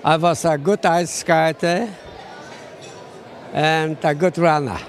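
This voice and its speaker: Old guy, bit high voice, simple halting answers outdoors. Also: steady tone, some chatter behind. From Poland. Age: 80s. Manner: plain short answers with hesitations. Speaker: interviewee.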